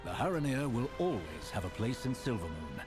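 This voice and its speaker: A man's voice: medium pitch, very animated, fairly quick, music in the background, lightly acted. A man voicing a formal, dignified elven leader in a video game, with a lofty, commanding delivery. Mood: stately gratitude.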